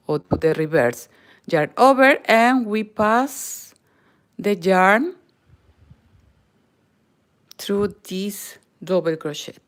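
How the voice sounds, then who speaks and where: A middle-aged Peruvian woman with a Spanish accent, a native Spanish speaker, giving a live crochet tutorial in careful non-native English that often drops to a near whisper. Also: mid-range voice. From Peru. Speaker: crochet channel host.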